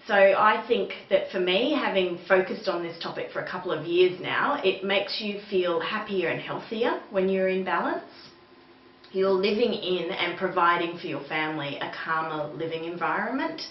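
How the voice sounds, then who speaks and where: A middle-aged Australian woman presents a webinar in a conversational, explanatory manner. Her voice sits on the low side. She is recorded some way from the mic in an echoey room, with faint noise behind her. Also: normal speed. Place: small indoor room with bare wall, seated. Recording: roomy, distant mic, dull sound, faint noise.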